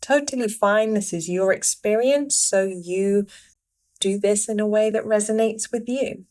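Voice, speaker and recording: Female meditation guide, mid-range voice, very slow delivery, gentle instruction with frequent pauses. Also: medium pitch. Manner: unhurried, pausing between short phrases.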